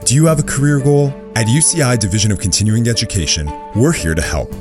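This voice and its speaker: A man announcing a scripted ad in a measured, persuasive way. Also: medium pitch. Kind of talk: scripted radio ad. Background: music.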